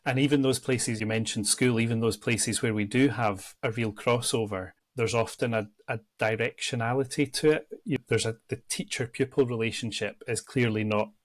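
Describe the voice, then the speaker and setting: A man from near Edinburgh with a Scottish accent, a church ministry worker reflecting in a podcast interview, pausing with frequent ums. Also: mid-range voice, steady tone. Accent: Scottish, Edinburgh area. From Scotland, near Edinburgh. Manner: thoughtful, with frequent ums and restarts.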